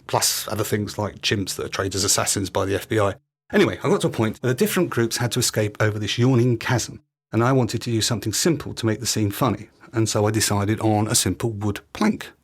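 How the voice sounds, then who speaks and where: A man's voice: lowish voice, slightly rough. A British man hosting a podcast, delivering a scripted monologue with practical tips. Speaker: podcast host.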